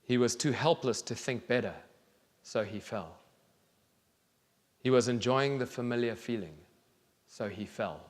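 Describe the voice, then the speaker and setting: A man in his thirties reads a storybook very slowly, with deliberate pauses and expressive phrasing. His mid-pitched voice carries a long reverb from a large theatre. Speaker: children's author reading aloud. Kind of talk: storybook reading to an audience. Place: large theatre stage, indoors.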